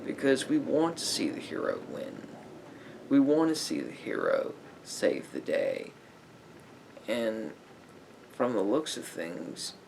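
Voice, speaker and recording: Soft-spoken ASMR roleplay with a man acting as a patient, probing male therapist. His low, gravelly voice moves very slowly and haltingly, sinking at times into breathy murmurs. There is steady room noise behind him. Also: full character voice, low-quality recording. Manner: halting, deliberate phrasing with pauses and reflective murmurs.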